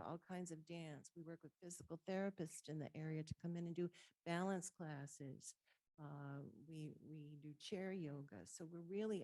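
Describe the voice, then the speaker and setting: A soft-spoken woman walks a council committee through her department's services. Her voice sits low and mellow, and the room sound is dry and close. She talks steadily, with plenty of ums and small restarts. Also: no echo, poor audio. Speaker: council on aging director presenting budget. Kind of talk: public meeting presentation. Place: city council chamber. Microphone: table microphone.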